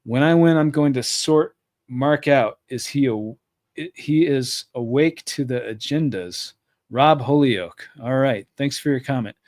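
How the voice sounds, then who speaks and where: A man's voice: medium pitch, measured pace, darkish tone. A middle-aged man hosting a live stream, reading viewer comments aloud and answering them in a friendly, thinking-aloud way. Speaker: podcast host reading live chat.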